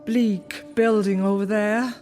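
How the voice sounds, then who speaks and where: Woman doing a grave spirit, commanding and slow, long echo effect, soft music behind. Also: medium pitch, fairly even intonation, natural voice. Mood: solemn. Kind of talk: radio drama acting. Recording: reverb effect on the voice.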